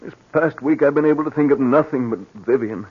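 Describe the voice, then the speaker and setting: A man's voice: on the high side, brisk, slightly rough. A man acting in 1940s radio style as an anxious, guilt-ridden, trusting businessman, earnest and troubled. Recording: dull lo-fi vintage 1940s radio recording.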